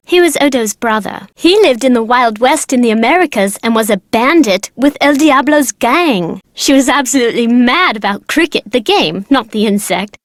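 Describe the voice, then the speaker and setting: A woman's voice: high voice, dry recording, studio quality. A woman voicing a chatty, precocious young girl from an aristocratic family in a video game, with casual, slangy, teasing talk. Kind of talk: video game character dialogue.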